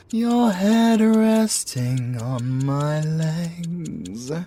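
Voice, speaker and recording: Man playing a very slow, possessive British siren. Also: mid-range voice, mellow, slight background noise. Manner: slow, crooning, swinging from menacing to tender. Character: possessive male siren with talons, violent yet tenderly obsessive. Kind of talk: ASMR roleplay acting.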